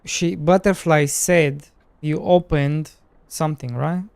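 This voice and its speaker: Smooth-voiced man chatting on a stream. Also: a little high, fairly even intonation, slow pace, darkish tone, no echo. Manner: easygoing, says "bro". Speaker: indie game developer streamer. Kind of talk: casual live-stream chat.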